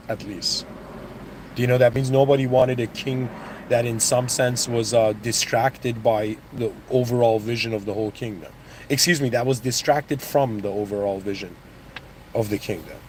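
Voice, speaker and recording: A man's voice: medium pitch, slight background noise, low-quality recording. A man born in Iran and raised in Canada, giving a casual, rambling motivational talk full of restarts and asides. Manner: rambling, with restarts and "you know what I mean". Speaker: motivational speaker.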